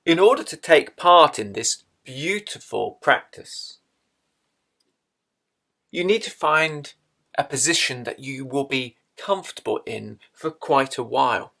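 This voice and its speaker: Slow-speaking man guiding a meditation. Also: medium pitch, lively intonation, vocal fry, sharp tone. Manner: slow, measured, with long pauses between phrases. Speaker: meditation guide. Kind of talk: guided meditation instruction.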